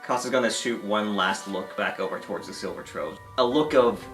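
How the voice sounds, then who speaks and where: A woman's voice: very deep voice, a little fast. A woman casually narrating her character's actions as a player in an improvised tabletop game. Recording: distant from microphone, music underneath. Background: music.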